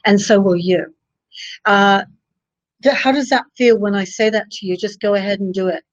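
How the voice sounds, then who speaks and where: A woman's voice: medium pitch, fairly even intonation, normal speed, no room sound. A woman hosting a live video chat, coaching a guest with encouraging, practical advice and old sayings. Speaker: psychic coach and live show host. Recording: dull dry sound.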